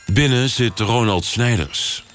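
A man's voice: medium pitch, takes it slowly, a bit bright. A man reading scripted promos and station announcements as a radio announcer. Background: music behind the voice.

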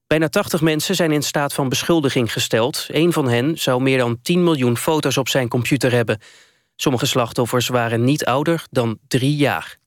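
A man's voice: mid-range voice, no echo. Man reading radio news, dry close sound. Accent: Netherlands Dutch. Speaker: radio newsreader. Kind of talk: formal scripted news bulletin.

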